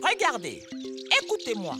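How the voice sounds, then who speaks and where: Patient, bossy cartoon girl, fairly high, swooping delivery, instructive, emphatic. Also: huge pitch swings, normal speed. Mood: explaining. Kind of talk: French-language educational cartoon dub. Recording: music behind the voice.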